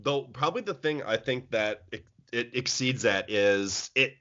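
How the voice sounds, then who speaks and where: A man's voice: mid-range voice, a little slow, pushed and bright, highs cut off. A man co-hosting a casual podcast chat about movies, rambling with many restarts. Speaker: podcast co-host.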